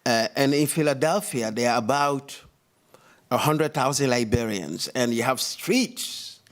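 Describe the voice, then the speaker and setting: A male writer on a panel talking about immigrant communities in an animated, emphatic way. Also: mid-range voice, a little slow. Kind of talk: panel discussion.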